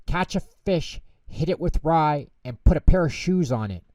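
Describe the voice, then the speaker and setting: Male reader as no-nonsense waitress, higher pitch, calling out, storybook, roomy distant recording. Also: animated, lightly acted. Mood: brisk, loud. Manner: brisk, shouting out. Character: brisk diner waitress shouting slangy orders. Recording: roomy echo, distant microphone.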